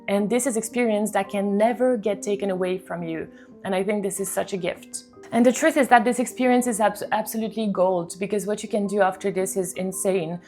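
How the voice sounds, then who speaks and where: Chatty young woman over faint music. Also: medium pitch. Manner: chatty, earnest, many "like" fillers. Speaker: vlogger, cruise ship musician. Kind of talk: casual talking-to-camera vlog.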